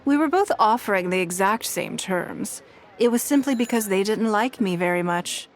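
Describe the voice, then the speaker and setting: A woman playing a composed, shrewd and wealthy businesswoman in a video game, speaking in a measured, formal way. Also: mid-range voice, lively intonation, slight background noise, close to an everyday voice. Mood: reflective, composed. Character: poised, shrewd, wealthy businesswoman of high standing. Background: background music.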